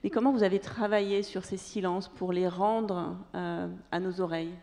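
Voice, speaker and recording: A woman moderating a live panel asks her questions haltingly, correcting herself as she goes. Her voice rings out with the long reverb of a theater hall. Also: medium pitch, fairly even intonation, darkish tone. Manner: halting questions with many fillers. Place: theatre stage.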